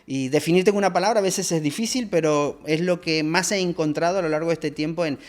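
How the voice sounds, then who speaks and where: A man's voice: a little high, fast talker. Reflective Argentine-born male coach. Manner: reflective, many fillers and tag questions. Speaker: leadership coach, guest. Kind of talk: conversational interview answers.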